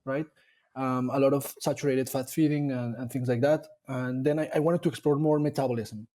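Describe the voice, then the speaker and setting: Mellow-voiced man, thoughtful. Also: medium pitch, steady tone, a little fast. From Colombia. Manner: thoughtful, with frequent fillers and restarts. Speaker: dairy nutrition scientist guest. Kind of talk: casual interview conversation.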